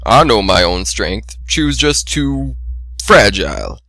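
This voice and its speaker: Storybook stallion, played by a man: low-ish, rustic, sheepish older brother, few words, awkward and glum. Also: sing-song, slow pace, pushed and bright, no room sound, exaggerated voice, poor audio. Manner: plain-spoken, rustic, awkward.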